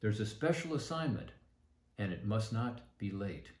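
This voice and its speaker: A man reads as a kindly American lady mouse schoolteacher in a children's storybook. She is orderly and instructive with young pupils. The room has clear echo. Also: fairly low pitch, expressive, moderate pace, a little mellow, lightly acted. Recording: echoey room sound.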